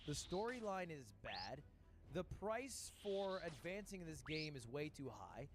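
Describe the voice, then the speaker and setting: A man's voice: fairly high pitch, big pitch swings, measured pace, slight background noise. A man in a comedy video, reviewing games with sarcastic, swearing asides. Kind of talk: casual comedic video monologue. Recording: video played on stream with faint music bed.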